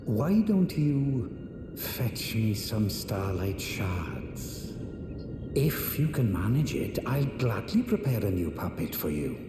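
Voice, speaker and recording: An actor playing a haughty, condescending, scheming sorcerer and puppeteer in a fantasy video game, with pompous, sneering, theatrical phrasing. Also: male voice, medium pitch. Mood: oily, coaxing. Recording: echoey hall reverb under loud music.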